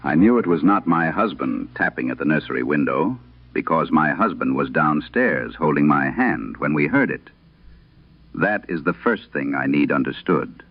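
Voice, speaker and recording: A man's voice: rumbling low, expressive, moderate pace. A man voicing a sober farm mother who earnestly and precisely recounts a frightening night in a radio horror monologue. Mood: earnest, insistent. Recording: muffled old radio broadcast sound.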